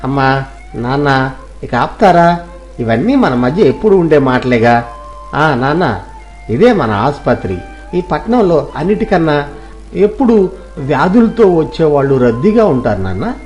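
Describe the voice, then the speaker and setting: A man playing a respectful grown son, a city doctor, in a moral story, talking softly and politely as he explains. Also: on the high side, big pitch swings, measured pace, long pauses, soft background music. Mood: patient. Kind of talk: dramatized moral story acting.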